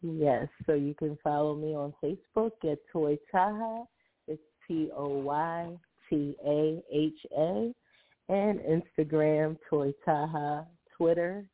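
Unhurried woman singer on a phone line. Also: deep voice. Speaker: singer, guest. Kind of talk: casual interview.

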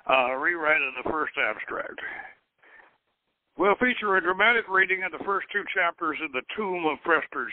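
Male radio host reading his adventure story, notably high voice, measured phrasing, thin telephone-grade audio with no room sound. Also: dry recording. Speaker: radio show host and author. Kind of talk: scripted announcement and dramatic reading of a novel. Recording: tinny phone-line radio broadcast.

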